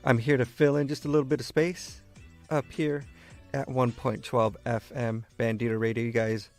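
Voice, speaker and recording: An American man from East Los Angeles hosting a laid-back radio show, talking to listeners in deliberate, halting phrases. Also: mid-range voice, little pitch movement, darkish tone, faint music behind. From East Los Angeles, United States. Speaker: radio host.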